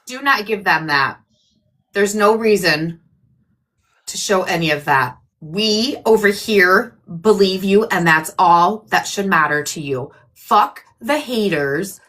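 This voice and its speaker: Bright-voiced, blunt, swearing woman. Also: mid-range voice, expressive, slow pace. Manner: blunt, defiant, heavy swearing. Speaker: livestream host. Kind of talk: casual livestream chat. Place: livestream.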